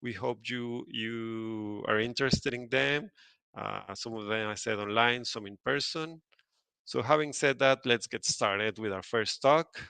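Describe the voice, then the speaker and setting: A man's voice: mid-range voice, measured pace, creaky, bright, forceful tone, clean tone, no echo. A man hosting an online conference, making announcements and introducing a speaker, with many fillers and restarts. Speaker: event host.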